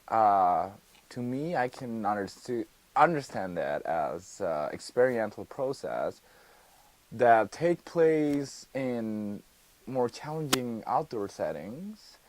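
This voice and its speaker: A young man from Indonesia, a student guest on a mock TV interview, explaining his course in a careful, halting way with frequent restarts. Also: mid-range voice, poor audio.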